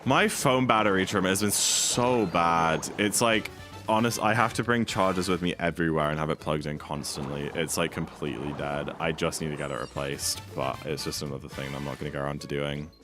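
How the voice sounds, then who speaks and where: Young male streamer, very deep and slightly hoarse, laid-back and rambling. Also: steady tone, fairly quick. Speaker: speedrun streamer. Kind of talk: casual live-stream chat. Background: game music.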